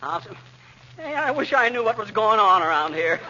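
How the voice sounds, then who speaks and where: High-voiced, grumpy blustering American husband. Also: male voice, big pitch swings, a little fast, sharp tone, exaggerated voice. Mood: grumpy, suspicious. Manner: folksy, irritable, grumbling. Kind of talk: 1940s radio comedy acting. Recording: muffled old radio recording, hiss, studio audience.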